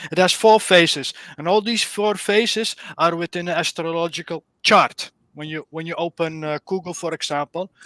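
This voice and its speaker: Bright, earnest Dutch-accented man. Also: fairly high pitch, no echo. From Netherlands. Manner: earnest, searching for words. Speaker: caller. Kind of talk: casual explanation on a live stream.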